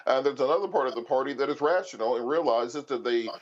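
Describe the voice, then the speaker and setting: A man's voice: medium pitch, fast talker. A man speaking as a panelist, giving emphatic, off-the-cuff political commentary and arguing his points. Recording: dull, lo-fi remote feed.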